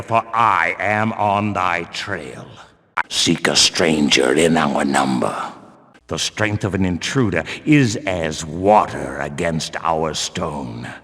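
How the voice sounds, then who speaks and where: Stern zealot temple guard, echoing. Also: male voice, lowish voice, bright, forceful tone, exaggerated voice. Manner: pious, archaic, declamatory speech. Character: stern, zealous male religious warrior guard of a builder cult. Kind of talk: fantasy video game character acting. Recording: long reverberant hall echo.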